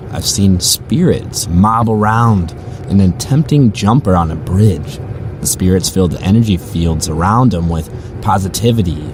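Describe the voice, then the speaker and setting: A man playing a weary, musing rideshare driver who narrates eerie stories in a conversational, first-person audio drama style. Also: fairly low pitch. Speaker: narrator and lead character. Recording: clearly noisy, car and street ambience.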